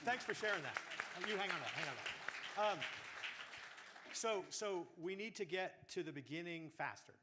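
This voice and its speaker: A man coaching speakers at a conference workshop, giving lively feedback in front of an audience. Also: high-pitched, moderate pace, over a noisy crowd, heavy background noise. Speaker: speech coach.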